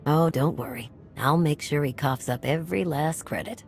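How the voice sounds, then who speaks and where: Very low-voiced, deadpan smuggler woman. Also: moderate pace, faint music behind. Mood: wry, unimpressed. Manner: deadpan, teasing. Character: dry, no-nonsense female smuggler, the rogue's partner. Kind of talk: video game cutscene acting.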